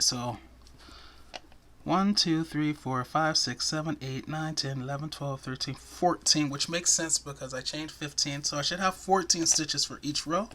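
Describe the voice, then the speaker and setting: Soothing soft-spoken male crochet teacher. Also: fairly high pitch, a little hiss or hum. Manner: soft-spoken, chatty, self-deprecating asides. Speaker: crochet tutorial host. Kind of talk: step-by-step crochet instruction.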